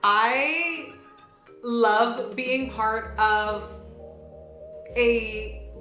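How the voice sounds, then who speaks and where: A woman's voice: on the high side, steady tone, drawn-out words, bright, forceful tone. A woman giving a casual, reflective interview as a voice actor, talking expressively and emphatically and correcting herself as she goes. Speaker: voice actor in an audio drama. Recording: roomy echo with music behind. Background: music.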